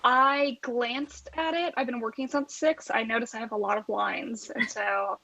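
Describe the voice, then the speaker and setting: A woman's voice: fairly high pitch, fairly even intonation, normal speed, pushed and bright. A woman chatting casually as a cast member on a group call, with dull headset audio. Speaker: voice actor in a podcast cast. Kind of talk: casual chat. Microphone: basic earbuds or headset on a group call.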